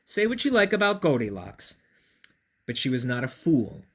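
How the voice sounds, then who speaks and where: A man reading a picture book aloud to children in a playful, expressive way, with chatty asides to his young listeners. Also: mid-range voice, normal speed, husky.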